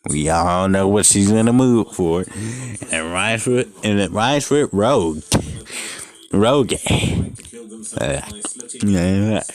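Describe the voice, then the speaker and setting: A man's voice: lowish voice, takes it slowly. A young man casually reading a fan story aloud, stumbling over lines and stopping to joke and swear. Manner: reads unevenly, breaks off to joke and swear. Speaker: fanfic reader. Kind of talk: casual fanfic reading with commentary.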